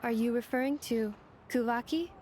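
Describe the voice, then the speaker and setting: A woman's voice: fairly high pitch, normal speed, darkish tone, soft background music, natural voice. A woman voicing a polite, analytical robot girl in a video game, speaking formally and earnestly as she explains. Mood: informative, calm. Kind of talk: video game character dialogue.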